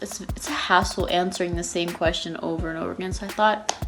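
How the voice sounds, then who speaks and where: A nineteen-year-old woman from Texas chatting casually in a Q&A vlog, rambling with lots of fillers and restarts. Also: lowish voice. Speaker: YouTuber.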